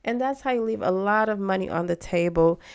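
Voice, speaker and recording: A woman with a clear, smooth voice that often dips into creaky fry, at a middle pitch. She talks like an informal video host guiding beginners, reassuring and encouraging as she explains. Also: a little fast, darkish tone. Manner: encouraging, reassuring advice-giving. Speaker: host of a stock investing channel for beginners.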